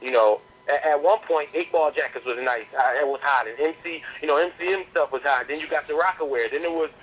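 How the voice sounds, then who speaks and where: A man's voice: fairly high pitch, fast talker. A man from the southern United States, a rapper, talking casually and slangily as a phone interview guest, often repeating words as he builds a point. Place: on a landline phone call. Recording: noisy landline phone call.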